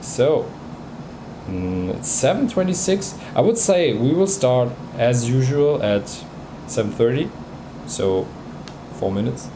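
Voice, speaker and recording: Male streamer, native Bosnian speaker, casual and hesitant, slow delivery, noticeable hum behind him. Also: mid-range voice, very animated. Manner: hesitant, many fillers and restarts. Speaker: livestream host reviewing music tracks. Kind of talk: casual livestream chat.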